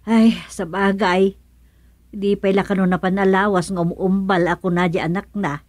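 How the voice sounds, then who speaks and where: A woman's voice: mid-range voice, flat delivery, normal speed, no echo, lightly acted. An actress playing a gossipy, easily surprised village woman in an Ilocano comedy radio drama.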